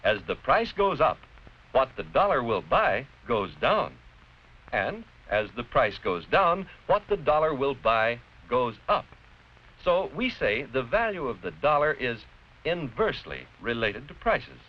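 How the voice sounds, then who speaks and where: An American man giving scripted educational narration in a deliberate, clearly explanatory, didactic manner. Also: mid-range voice, very animated. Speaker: narrator-presenter of an educational film. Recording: dull, noisy vintage educational film soundtrack.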